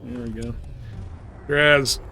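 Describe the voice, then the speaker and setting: Male streamer, mid-pitched, relaxed slangy live game commentary, faint game noise behind him. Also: slow. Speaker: livestreamer. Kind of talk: casual live gameplay commentary.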